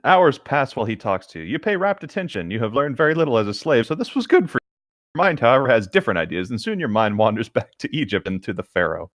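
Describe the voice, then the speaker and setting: A man's voice: mid-range voice, big pitch swings, quick pace, fairly bright tone, no room sound, studio quality. A man chatting casually and narrating a fan-written story as an amateur co-reader.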